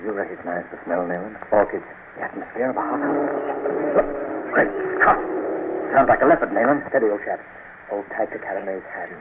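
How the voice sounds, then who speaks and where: An actor playing a stalwart British gentleman adventurer in an old radio serial, clipped, urgent and defiant under threat. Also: fairly low pitch, fairly quick, pauses often, natural voice. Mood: wary alarm. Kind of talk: 1930s-40s radio serial acting. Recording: tinny, noisy old radio broadcast.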